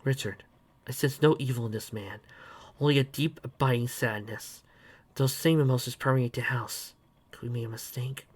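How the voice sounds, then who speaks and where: A man voicing a solemn, empathic, mystical female superhero in a measured way. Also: medium pitch, fairly even intonation, slightly rough, lightly acted.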